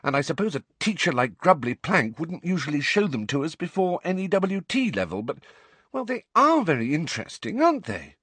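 A man's voice: a little high, big pitch swings, fairly quick, fairly bright tone, husky, dry recording, voice pushed up, heavily acted. A man voicing an earnest, bookish teenage girl who speaks in an emphatic, impatient, insistent way.

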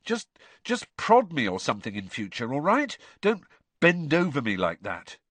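A man's voice: mid-range voice, wildly swooping pitch, normal speed, a bit bright, no echo, pitched above the performer's own voice. A man voicing a plain, slightly defensive teenage schoolboy.

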